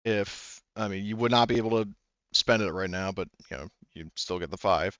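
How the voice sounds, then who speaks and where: Man, game master, low smooth voice, hesitant, fillers, dry muffled recording. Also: moderate pace, a bit bright, dry recording. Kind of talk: narrating a tabletop game.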